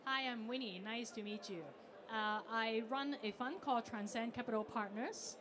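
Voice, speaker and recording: A woman speaking on a conference panel about founding her fund, with a clear, steady explanation. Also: fairly high pitch, moderate pace, a crowd in the background.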